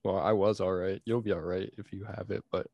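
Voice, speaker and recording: A young man co-hosting a casual sports podcast, easygoing and halting, with plenty of fillers and restarts. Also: deep voice, flat delivery, gravelly, a little mellow, no room sound, lo-fi sound. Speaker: podcast co-host.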